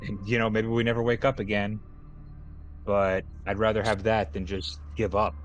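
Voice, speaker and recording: A man playing a tabletop roleplaying game, casually pitching plans to the group. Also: level tone, moderate pace, highs cut off, slight background noise. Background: background music.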